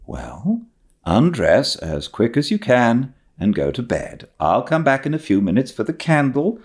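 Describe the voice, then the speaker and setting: A man voicing a stern, sharp-tongued older spinster, brisk and disapproving, in an audiobook story. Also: medium pitch, wildly swooping pitch, pitched above the performer's own voice. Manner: brisk, scolding.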